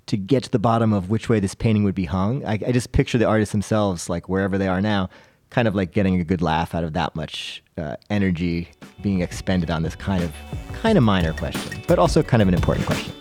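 A man's voice: low voice, fast, a little mellow, no echo, studio quality, faint music behind. A man chatting casually as a podcast panelist, telling anecdotes in a conversational, self-deprecating way.

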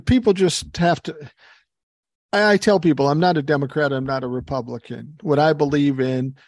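A man's voice: fairly high pitch, darkish tone, dry recording, studio quality. A man in his sixties, a podcast guest on a video call, talking bluntly and emphatically with lots of swearing. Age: 60s. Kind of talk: casual chat over a video call.

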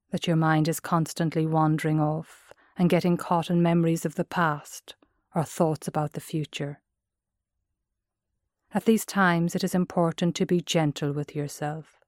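A woman with an Irish accent gives measured, gentle guided meditation narration as a mindfulness instructor. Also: sits low, dry recording, clean studio sound.

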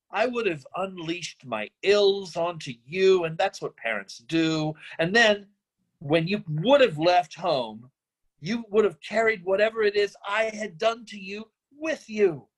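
An actor playing a middle-aged, idealistic absent father, speaking in a deliberate, brooding way in a dramatic screenplay reading. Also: sits high, poor audio. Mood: regretful. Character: disillusioned, idealistic absent father, philosophical and bitter. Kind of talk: dramatic table-read monologue.

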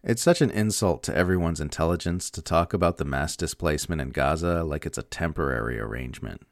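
A man's voice: rumbling low, brisk, no room sound, studio quality. A man reading a scripted political opinion essay aloud in an emphatic, sardonic way. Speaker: narrator reading political commentary.

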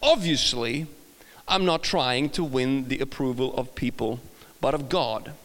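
A man's voice: mid-range voice, measured pace, a bit bright. A man from Sweden with a mixed Swedish accent, preaching as a guest in a church, chatty and self-deprecating with many restarts. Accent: Swedish, mixed with British and American. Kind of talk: sermon. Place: large church hall. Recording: long hall reverb.

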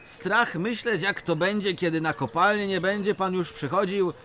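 An actor playing a cheeky, teasing miner who loves telling stories, in a radio drama. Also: male voice, high-pitched, moderate pace, bright, forceful tone, a little hiss or hum. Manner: teasing, jovial. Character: male miner Bulandra, cheeky storyteller.

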